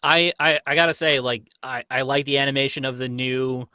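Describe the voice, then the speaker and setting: A man's voice: mid-range voice, steady tone, a little fast, sharp tone, clean tone, no room sound, studio quality. A young man chatting casually as a fan, opinionated and digressive, often dropping in "like". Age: young adult. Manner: opinionated, digressive, frequent use of "like".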